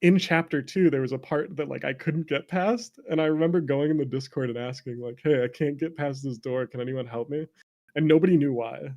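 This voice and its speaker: Creaky-voiced young man chatting casually. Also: mid-range voice, fairly quick, darkish tone. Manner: informal, self-deprecating, peppered with "like". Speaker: interview guest, streamer and former speedrunner. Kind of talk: casual conversational storytelling.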